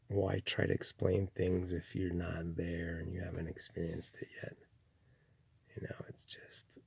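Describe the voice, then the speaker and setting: A man from Chile giving a slow, rambling spiritual monologue, full of restarts and pauses. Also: darkish tone, no room sound. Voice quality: gravelly, husky low voice. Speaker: spiritual speaker.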